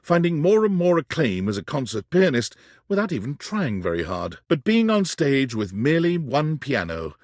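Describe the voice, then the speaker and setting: A man's voice: on the high side, sing-song, moderate pace, darkish tone, no room sound, clean studio sound. A man playing an erudite, theatrical narrator in a whimsical game, delivering florid scripted lines with precise wording. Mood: storytelling, measured.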